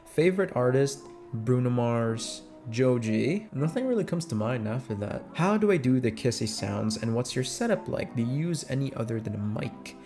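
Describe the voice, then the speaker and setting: Young man, medium pitch, casual question-and-answer chat, informal with loose swearing, close mic, music behind. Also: expressive, moderate pace, few pauses, darkish tone. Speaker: ASMR audio creator answering fan questions.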